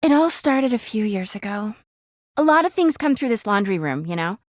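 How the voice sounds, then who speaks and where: A woman's voice: medium pitch, sing-song, normal speed, no room sound, clean studio sound, lightly acted. A woman voicing a clingy, jealous talking laundry hamper in a video game, gushing sweetly and flipping into bitter drama. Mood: wistful, confiding. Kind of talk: video game character voice acting.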